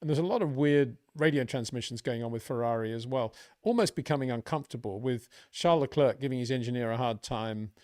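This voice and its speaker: A man gives his opinions on motorsport in an unscripted commentary. He talks at a rapid pace in a mid-range voice. The recording is dry and free of echo. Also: mid-range voice, darkish tone.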